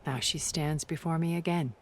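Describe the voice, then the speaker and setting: A woman playing a commanding, possessive, centuries-old woman in a dramatic video game cutscene, speaking deliberately and imperiously. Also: low-pitched, steady tone, lightly acted. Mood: cold, possessive. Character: commanding, possessive thousand-year-old woman, coldly obsessed with lost love.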